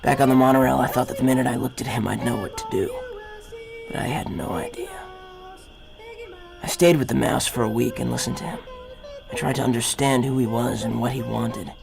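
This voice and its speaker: An actor playing a haunted, war-weary army captain, narrating gravely in the first person in a radio drama parody. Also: male voice, mid-range voice, brisk, music in the background. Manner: grave, hard-boiled.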